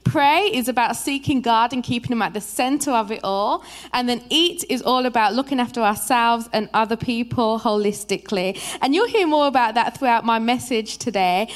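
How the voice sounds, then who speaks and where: A young woman from England with a Birmingham accent, speaking as a guest preacher in a lively, chatty, personal way. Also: fairly high pitch, normal speed. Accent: Birmingham English. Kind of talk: sermon introduction. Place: church.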